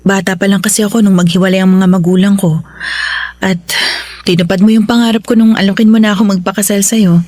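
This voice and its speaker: A woman playing a tender, anxious young wife and mother in a Tagalog radio drama, speaking in a soft, emotional, hesitant way. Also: mid-range voice, level tone, fast, no echo, natural voice. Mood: tender, grateful.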